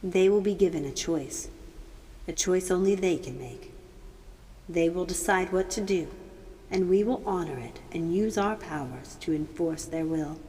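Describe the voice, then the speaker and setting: Storybook ancestral spirit, female reader: low, mellow, serene and solemn, drenched in long echo. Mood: calm, solemn. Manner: calm, solemn, measured. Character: otherworldly female ancestral spirits speaking as one, calm, serene. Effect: long echoing reverb. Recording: voice drenched in long hall-like reverb.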